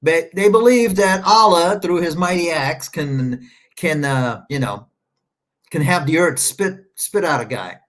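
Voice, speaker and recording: A man's voice: a little high, a little slow, a bit bright. A man rambling through casual livestream commentary, sarcastic and scornful, stopping often to search his screen. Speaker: online commentator.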